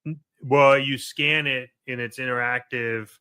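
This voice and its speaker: Man, American accent, medium pitch, sharp bright tone, informal podcast co-host, casual chat. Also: steady tone, unhurried, clear, resonant tone. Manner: informal, peppered with "like" and "right?".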